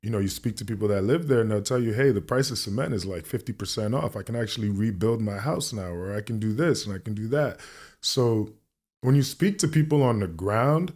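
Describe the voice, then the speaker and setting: Young new york guy, lowish voice, easygoing interview chat, lots of "um" and "you know". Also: fairly quick. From New York City. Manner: relaxed, frequent fillers and restarts. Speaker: guest artist. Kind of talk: casual interview conversation.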